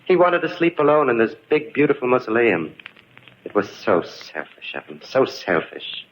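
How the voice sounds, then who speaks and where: An actor playing a deranged, mock-polite murderer in a 1940s radio mystery, gloating and cackling with menace. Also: male voice, mid-range voice, sing-song, normal speed, slight background noise. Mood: eerily courteous menace. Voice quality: maniacal cackling, swooping delivery. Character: deranged, gloating murderer haunting his brother's tomb. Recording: muffled vintage 1940s radio recording.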